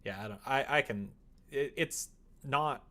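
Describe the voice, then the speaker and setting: A man's voice: mid-range voice, measured pace, sharp tone. A man streaming live, chatting casually with viewers and rambling as he thinks aloud.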